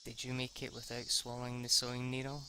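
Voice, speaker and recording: A man's voice: medium pitch, completely flat delivery, fast, noisy background, natural voice. A man reading as a sweet teasing schoolgirl. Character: sweet cheerful teenage girl with something unsettling.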